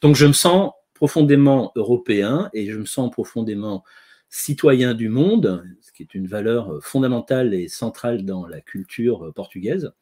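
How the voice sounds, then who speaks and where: Un homme dans la cinquantaine qui raconte son parcours en hésitant, avec beaucoup de « euh », lors d'une visioconférence. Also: male voice, mid-range voice, animated, mellow, husky. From France. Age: 50s. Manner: many drawn-out "euh" fillers, slow and searching. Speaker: guest speaker. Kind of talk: hesitant conversational storytelling.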